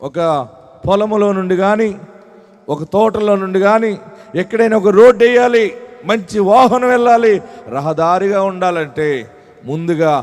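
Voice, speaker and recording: A male pastor giving an impassioned sermon with prayer, proclaiming in repeated phrases and swinging between hushed and loud. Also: high-pitched, in a large hall.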